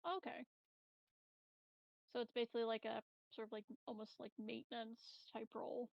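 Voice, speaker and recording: A woman's voice: fairly high pitch, flat delivery, moderate pace, gravelly, no echo, recorded from a distance, muffled recording. A woman chatting casually as a player in a tabletop game, asking about the rules. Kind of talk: casual chat.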